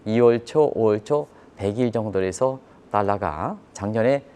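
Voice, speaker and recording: Male economic commentator, fairly low register, explains markets to viewers with repetition and rhetorical questions. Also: moderate pace, mellow. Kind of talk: live financial news commentary.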